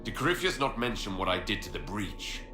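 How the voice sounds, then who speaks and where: A man's voice: lowish voice, very animated, fairly quick. An actor playing a resolute, blunt male hero in a fantasy game, speaking firmly and challengingly. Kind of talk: dramatic fantasy game dialogue. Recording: echoey, with music behind.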